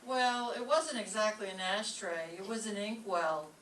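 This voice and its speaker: A woman playing a put-upon English teacher, delivering deadpan wisecracks in an old-time radio comedy. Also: mid-range voice, a little slow, lightly acted. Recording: noisy echoey stage, distant mic.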